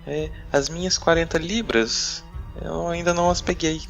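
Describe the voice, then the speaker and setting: A man's voice: a little high, fairly even intonation, normal speed, creaky, fairly bright tone, dull audio, pitched above the performer's own voice. A man voicing a teasing, flirtatious brothel woman in a tabletop game, mocking and playful, with music behind.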